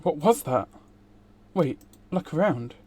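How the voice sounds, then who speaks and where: A man's voice: on the high side, animated, slow pace, darkish tone, husky. A man streaming a game, reading a visual novel aloud.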